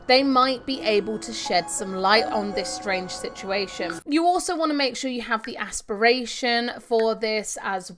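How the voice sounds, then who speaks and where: A woman doing casual, chatty video game walkthrough commentary, talking to herself as she plays and reading out on-screen text. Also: fairly high pitch. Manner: chatty, talks to herself while playing.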